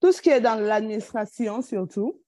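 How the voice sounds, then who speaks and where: A woman's voice: on the high side, lively intonation, moderate pace, warm tone, no echo, studio quality. A woman from Burkina Faso, introducing herself politely and hesitantly on a video call. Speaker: workshop participant.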